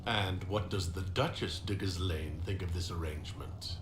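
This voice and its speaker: A man playing a polite, inquisitive hero in a fantasy video game, asking questions in a calm, courteous way. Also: sits low, moderate pace, close to an everyday voice. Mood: curious, polite. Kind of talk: scripted video game dialogue. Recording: roomy echo, clear background noise.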